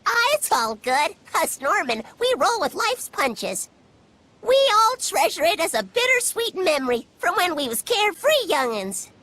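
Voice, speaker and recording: A woman voicing a tiny, cheerful, excitable male critter spirit with a squeaky cartoon voice and folksy talk, in an animated game. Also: unhurried, no echo, heavily acted. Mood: wistful, cheery. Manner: folksy, colloquial, exclamatory. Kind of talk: animated game cutscene acting.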